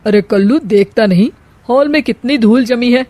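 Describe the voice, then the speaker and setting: A woman voicing a kind but shrewd middle-aged mother-in-law in an animated comedy story, talking in a homely, elder-sister way. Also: a little high, normal speed, dry recording, lightly acted. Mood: bossy.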